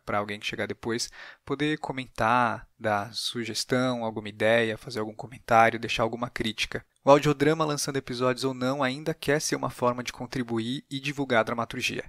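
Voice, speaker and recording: A man's voice: mid-range voice, fairly bright tone, no echo, clean studio sound. A Brazilian man hosting a podcast in a conversational style, speaking to guest and listeners. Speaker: podcast host and producer.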